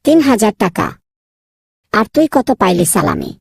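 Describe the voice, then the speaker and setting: A woman voicing an excitable, friendly young boy in a children's cartoon, with a husky, creaky voice. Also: mid-range voice, moderate pace, no room sound, clean studio sound, heavily acted. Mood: chatty.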